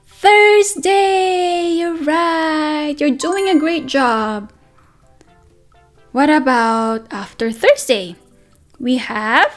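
A woman teaching a circle-time lesson to toddlers, speaking in a slow, sing-song, encouraging way and gasping with praise. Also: extremely high pitch. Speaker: preschool teacher.

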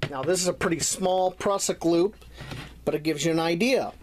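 Man calmly explaining knot tying. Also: fairly high pitch, normal speed, a little hiss or hum. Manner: plain step-by-step explaining while tying. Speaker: bushcraft instructor. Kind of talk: hands-on knot tutorial.

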